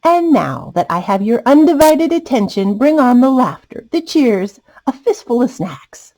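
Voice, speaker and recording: Storybook giraffe, male, sulky and self-important, played by a woman with big pitch swings and deliberate pace. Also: sing-song, dark tone. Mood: grandly demanding. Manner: huffy, dramatic, self-important. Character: vain, jealous, sulky male giraffe at a zoo.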